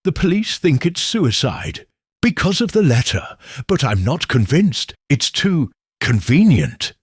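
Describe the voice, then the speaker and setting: A man reading slow, troubled amateur investigator. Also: fairly high pitch, sing-song, slightly rough, no room sound, clean studio sound, pitched above the performer's own voice, full character voice. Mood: uneasy. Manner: thoughtful, halting, serious. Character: troubled, suspicious man investigating a village death. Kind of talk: audiobook character dialogue.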